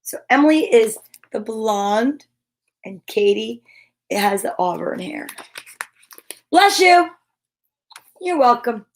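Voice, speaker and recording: A woman with a fairly high voice hosts a story time for children. She is upbeat and encouraging, moving between reading aloud and chatty asides to her viewers. Her manner is gushing and animated. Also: slow pace, pauses often.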